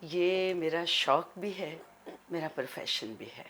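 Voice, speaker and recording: A Pakistani woman with a low voice speaks as an interview guest. She is measured and gracious, taking her time over each phrase. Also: lively intonation, a bit bright, slight background noise. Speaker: guest, actress and voice-over artist. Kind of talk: talk show interview.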